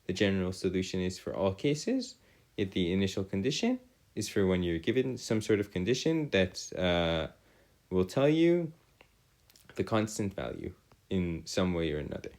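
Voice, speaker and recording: A man's voice: low voice, sing-song, normal speed, a little mellow. A man teaching a maths lesson as a tutor, in formal, wordy phrasing, often correcting himself as he works aloud. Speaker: math tutor. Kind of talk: video lesson working through calculus problems aloud.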